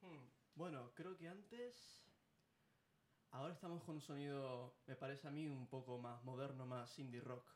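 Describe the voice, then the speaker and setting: A man's voice: mid-range voice, normal speed, echoey room. A man from Argentina, a band musician, talking casually and easily in a radio interview. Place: radio studio.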